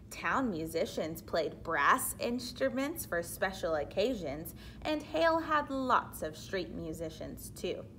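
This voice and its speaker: A woman reading a children's book aloud expressively, pausing to explain things simply like a teacher. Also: a little high, fairly bright tone, a little hiss or hum, poor audio. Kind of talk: read-aloud storytelling with explanatory asides for children.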